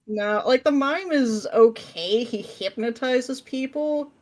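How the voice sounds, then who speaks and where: A woman's voice: on the high side, takes it slowly. Wry woman chatting casually. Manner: informal, wry, joking. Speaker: podcast guest, writer. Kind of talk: casual chat.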